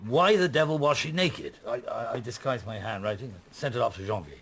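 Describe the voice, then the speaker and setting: A man's voice: mid-range voice, lively intonation, a little fast, a bit bright, slightly rough. A man acting in a radio play as a middle-aged, curious police inspector on holiday, with a hesitant, musing manner. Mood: musing, dry. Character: retired-seeming police inspector on holiday, mulling, curious, husband.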